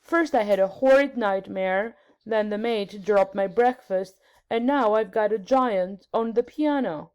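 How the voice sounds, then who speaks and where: Woman reading as a commanding, unhurried queen. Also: medium pitch, darkish tone, clear, resonant tone, natural voice, poor audio. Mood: matter-of-fact. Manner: commanding, matter-of-fact. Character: composed, commanding adult queen, dryly matter-of-fact.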